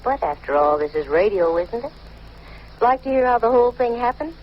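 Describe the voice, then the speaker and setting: A woman's voice: a little high, lively intonation, a little fast, natural voice. Actress as wisecracking lady private eye, muffled old radio. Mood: breezy, confiding. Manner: arch, wisecracking, worldly. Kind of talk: 1940s radio drama acting. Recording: noisy, muffled old 1940s radio recording.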